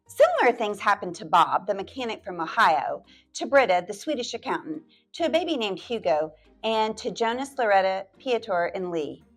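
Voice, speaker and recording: A woman's voice: mid-range voice, sing-song, moderate pace, hardly any pauses, soft background music. A woman library storyteller reading a picture book aloud to children, stretching words out and dropping into playful whispered asides.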